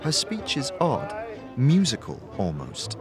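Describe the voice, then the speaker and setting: A man's voice: medium pitch, wildly swooping pitch, takes it slowly, music in the background. A man narrating a scripted fantasy audio drama as game master, dramatic and descriptive.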